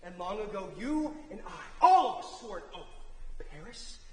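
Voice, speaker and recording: A young man acting a forceful, accusing warrior, brother of a king, in declamatory Greek tragedy style on stage. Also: high voice, big pitch swings, measured pace. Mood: angry, scornful. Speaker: student actor in a stage play. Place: theatre stage, indoors. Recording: echoey noisy theatre hall recording.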